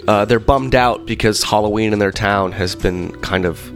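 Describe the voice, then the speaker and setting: A man hosting a podcast in casual chat, talking with many fillers and a slightly rough voice, congested from being sick. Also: on the low side, moderate pace, soft background music. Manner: rambling, animated storyteller with many fillers. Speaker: podcast host.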